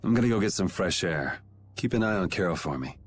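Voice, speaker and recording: An actor playing a cool, guarded young adventurer in a video game, talking in a dry, laconic, casual way. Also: male voice, deep voice, lively intonation, fairly quick, faint music behind. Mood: terse, resolute. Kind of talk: voice acting in an English video game cutscene.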